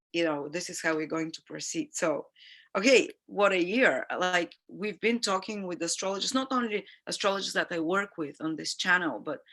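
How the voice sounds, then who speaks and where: A woman's voice: fairly low pitch, gravelly, a bit bright. A woman astrologer who is sick with a sniffly nose, talking in a chatty, rambling, earnest way on her own live stream. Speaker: astrologer and channel host. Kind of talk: live-stream forecast chat.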